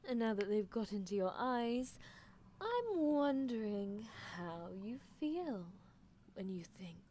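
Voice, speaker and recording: A woman's voice: on the high side, very animated, takes it slowly, mellow, a little hiss or hum. A woman voicing an obsessive mad scientist who coaxes and condescends to a captive love interest in an ASMR roleplay, with menacing affection. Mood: clinical, possessive.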